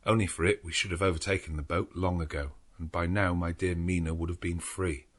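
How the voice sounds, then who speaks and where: A man's voice: fairly even intonation, fairly quick, fairly bright tone. A man voicing a young English husband writing his diary in an audiobook, tense, desperate and earnest. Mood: anxious, urgent. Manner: low, urgent, earnest. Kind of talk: audiobook character narration.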